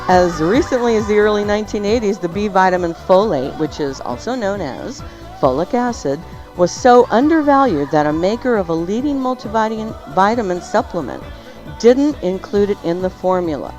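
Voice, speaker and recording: A woman's voice: medium pitch, animated, normal speed, darkish tone. A woman hosting a talk show, reading health news and sponsor spots in a playful manner. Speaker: show host. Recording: dull lo-fi audio with music behind.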